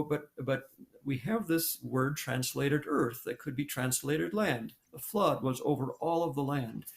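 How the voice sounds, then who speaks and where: Gravelly older guy on a video call, lots of uhs and restarts, explaining his view. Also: medium pitch. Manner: halting, many fillers and restarts. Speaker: planetary scientist guest. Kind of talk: thoughtful interview answer. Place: home room with posters.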